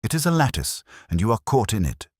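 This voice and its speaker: A man's voice: on the low side, big pitch swings, brisk, no echo, clean studio sound, voice pushed up. A man voicing an imperious, shrewd detective in an audiobook, with formal, clipped, commanding pronouncements. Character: imperious, shrewd male detective, coldly judging.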